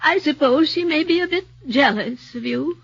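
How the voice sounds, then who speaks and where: A woman voicing a fond, lonely elderly rich woman who speaks gently and slowly, in a 1940s radio drama on a muffled old broadcast. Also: high-pitched, gravelly, no echo. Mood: doting. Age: older. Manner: gentle, doting, slow.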